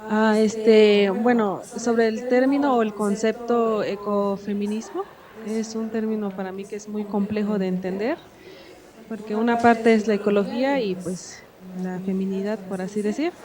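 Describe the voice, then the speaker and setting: A woman's voice: mid-range voice, steady tone, moderate pace. Hesitant woman with crowd around. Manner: hesitant, many fillers and restarts. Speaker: audience member asking a question. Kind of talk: spontaneous conference floor comment. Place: university conference room with audience. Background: audience in the room.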